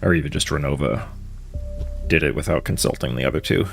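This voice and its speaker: Deep, creaky-voiced male game streamer. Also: fairly quick. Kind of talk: casual livestream commentary. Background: game music.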